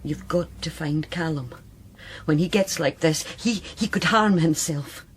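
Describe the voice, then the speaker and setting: An actress playing a brittle, anguished sister in a radio drama, with a Scottish accent and a halting, agitated, bitter delivery. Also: female voice, low-pitched, slight background noise. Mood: distressed, bitter. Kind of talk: naturalistic radio drama acting.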